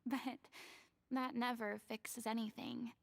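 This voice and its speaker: An actress voicing a subdued, remorseful young former goddess in a video game, speaking softly and hesitantly, often in a whisper. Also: female voice, fairly high pitch, level tone, takes it slowly, gravelly.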